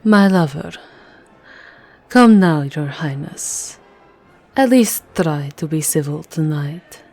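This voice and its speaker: A woman voicing an elegant, commanding and seductive vampire countess in an ASMR roleplay, measured and poised. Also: low-pitched, expressive, darkish tone, faint music behind, exaggerated voice. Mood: poised, cutting.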